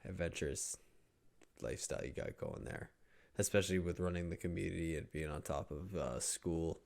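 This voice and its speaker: A man's voice: low-pitched, brisk, vocal fry, a little mellow. A man hosting a podcast in a laid-back, casual way, using lots of fillers. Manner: laid-back, filler-heavy, wrapping up the show. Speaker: podcast host.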